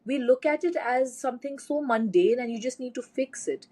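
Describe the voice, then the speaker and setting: A woman's voice: high voice, moderate pace. Chatty young woman podcast co-host. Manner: animated storyteller, chatty. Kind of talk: casual chat.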